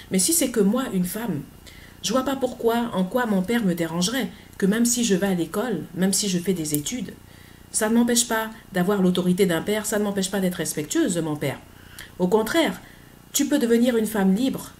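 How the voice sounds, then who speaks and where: A middle-aged woman, talking earnestly in a personal vlog, pausing often with hesitant fillers. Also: mid-range voice, a little mellow, a little hiss or hum. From Côte d'Ivoire. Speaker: author.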